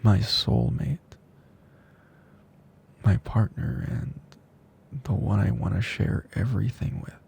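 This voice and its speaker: Man acting a gravelly, tender male best friend. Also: on the low side, slight background noise, full character voice. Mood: devoted, heartfelt. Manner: soft, breathy, slow, drifting into whispers. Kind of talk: intimate ASMR audio roleplay, soft and breathy.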